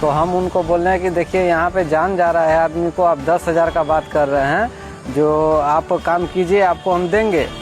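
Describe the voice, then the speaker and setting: Bihari man, high-pitched, plaintive complainant giving his account, prominent music underneath. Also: fairly even intonation, normal speed. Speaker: complainant, patient's relative. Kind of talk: pleading phone talk and interview account. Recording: background music over recorded speech.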